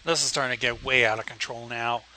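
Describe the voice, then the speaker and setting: A man co-hosting a livestream, throwing out loud, exasperated, sweary commentary on a story being read. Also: mid-range voice, steady tone, quick pace, a little hiss or hum. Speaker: livestream co-host.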